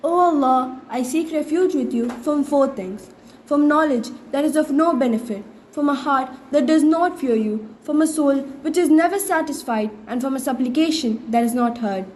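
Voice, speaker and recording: A teenage girl recites prayers and their translations phrase by phrase in a measured way. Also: sits high, darkish tone. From India. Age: teenager, ninth grade. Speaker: student reciter. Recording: faint background noise, school intercom assembly.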